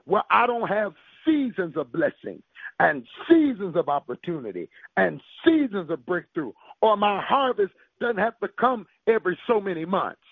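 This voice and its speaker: A man preaching in a high, gravelly voice with a breathy, husky edge. He drives the sermon with call-and-response and recites scripture. The sound is dry and narrow, like a tinny radio broadcast. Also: dry recording.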